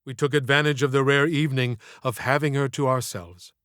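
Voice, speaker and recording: A man reading scripted audiobook narration in a measured, literary, expressive manner. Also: mid-range voice, smooth, dry recording, clean studio sound. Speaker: author narrating own audiobook.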